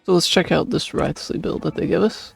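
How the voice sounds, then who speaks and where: A man's voice: a little high, brisk, gravelly, breathy edge, soft background music. A young man casually commenting while he plays a game, with offhand, musing remarks. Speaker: gameplay streamer.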